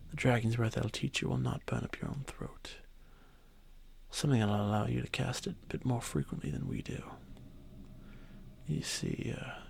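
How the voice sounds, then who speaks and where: A man playing an arrogant, ancient dragon boy who was once a god, speaking with haughty condescension in a breathy, creaky voice for a fantasy ASMR roleplay. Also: medium pitch. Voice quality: breathy, whispery, with creaky edges. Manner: haughty, condescending, unhurried formal speech.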